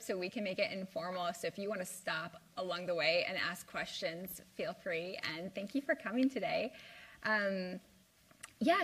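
A woman's voice: mid-range voice, fairly bright tone, breathy edge. A young woman from the American Midwest giving an informal live talk to a small university group, chatty with plenty of "like" and "um". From Midwest US (Indiana). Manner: chatty, lots of "like" and "um". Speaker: guest speaker. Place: university lecture room.

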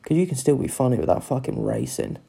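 A man with a croaky voice, rambling through a sweary, casual solo chat as a podcast host. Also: mid-range voice, wildly swooping pitch, quick pace, mellow. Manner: rambling, sweary, loses his train of thought.

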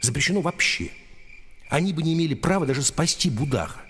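An actor playing a stern, small, thin senior official of noble rank in a radio drama, brusque and authoritative. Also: male voice, a little high, animated, fast talker, fairly bright tone, slight background noise. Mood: firm, admonishing.

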